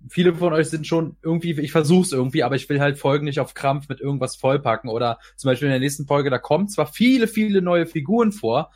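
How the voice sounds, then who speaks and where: A man's voice: a little high, fast talker, few pauses, a little mellow. A man hosting a casual podcast, talking informally and rambling with repeated starts. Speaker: podcast host, hobby cartoon maker.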